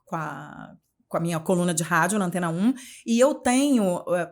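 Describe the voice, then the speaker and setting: Carioca woman in her forties, journalist guest on an interview show, expressive and chatty, often restarting phrases and adding tag questions. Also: fairly low pitch, normal speed. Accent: Carioca, Rio de Janeiro. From Rio de Janeiro, Brazil. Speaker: guest, journalist and communication mentor. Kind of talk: casual interview conversation.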